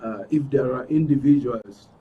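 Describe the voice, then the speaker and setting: A man with a Nigerian accent speaking as a talk show guest with deliberate, hesitant phrasing. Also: mid-range voice. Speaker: studio guest. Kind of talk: interview commentary. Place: radio and TV studio. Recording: dull distant mic, background noise.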